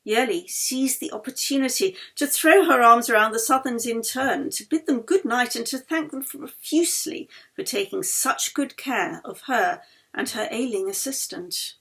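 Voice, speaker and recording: High, slightly husky woman narrating. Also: expressive, normal speed, a bit bright. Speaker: author reading own novel. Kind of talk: expressive audiobook narration.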